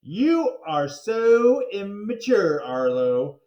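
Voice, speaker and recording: A man's voice: high-pitched, sing-song, very slow, mellow, muffled recording, pitched above the performer's own voice, exaggerated voice. Man voicing a smug know-it-all schoolgirl, echoey room. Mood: taunting. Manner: taunting, emphatic. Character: smug, bratty know-it-all second-grade girl. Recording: roomy, echoing sound.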